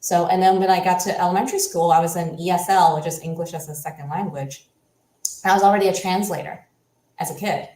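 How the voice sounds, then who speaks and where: A woman whose first language is Cantonese, a coach talking as an interview guest in an animated, casual way, often ending with "right?". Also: lowish voice, fast talker. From United States. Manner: animated, ends points with "right?". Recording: echoey room.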